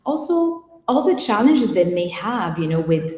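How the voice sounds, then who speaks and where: A woman from Morocco, a guest image consultant, answering interview questions in a measured, reflective way with frequent pauses. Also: medium pitch, very animated, a little mellow. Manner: measured and reflective, pausing often. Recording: echoey room with long reverb.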